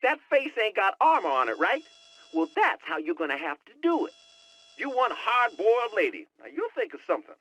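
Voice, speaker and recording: A man's voice: high-pitched, huge pitch swings, a little fast, pushed and bright, dry recording, full character voice. A man voicing a cocky, wisecracking young American hacker sidekick in a video game, slangy and sassy over radio comms. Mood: playful, bragging.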